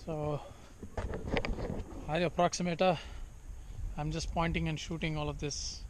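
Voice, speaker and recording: A man's voice: on the high side, flat delivery, measured pace, slight background noise, rough recording. A man in his thirties with a Tamil accent, casually narrating a travel vlog outdoors with lots of fillers, filmed on a handheld camera at arm's length. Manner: chatty, filler-heavy, switches between languages. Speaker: vlogger. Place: outdoors at a farm and corn maze. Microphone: handheld action camera at arm's length.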